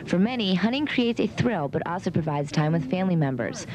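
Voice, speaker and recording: A young woman with a slightly low voice narrates a scripted television news report. Clear rumbling noise sits under her voice. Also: expressive, a little fast. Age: young adult.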